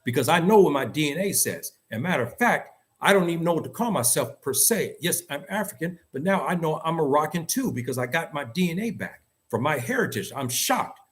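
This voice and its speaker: A man's voice: a little high, lively intonation, fairly quick, hardly any pauses. A man with an American accent giving opinionated, unscripted talk in an emphatic, insistent manner, repeating phrases for stress. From United States. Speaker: host and commentator.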